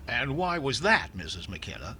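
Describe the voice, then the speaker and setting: A man's voice: medium pitch, very animated, moderate pace, pushed and bright. A man playing a pompous, droll police inspector in an old-time radio comedy, on a noisy recording. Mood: inquisitive.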